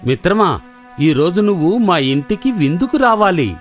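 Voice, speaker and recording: A simple, mild crocodile husband from a kids' cartoon. The voice sits fairly low and moves about expressively, over faint music. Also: female voice, big pitch swings, moderate pace. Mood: apologetic. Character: gullible, mild-mannered male crocodile husband. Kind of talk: children's cartoon voice acting.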